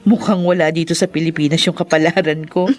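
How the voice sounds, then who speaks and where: An actress playing a cheerful, teasing young best friend in a radio drama, chatty and lively. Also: female voice, deep voice, a little fast, lightly acted. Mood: teasing, curious. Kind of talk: Tagalog radio drama acting.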